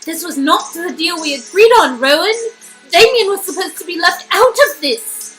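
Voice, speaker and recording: A woman's voice: very high voice, lively intonation, normal speed, fairly bright tone, poor audio. A woman playing a desperate, earnest young female agent, pleading and emphatic, in a story-game reading. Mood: indignant. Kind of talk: amateur dialogue reading of an interactive story game. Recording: music under the voice.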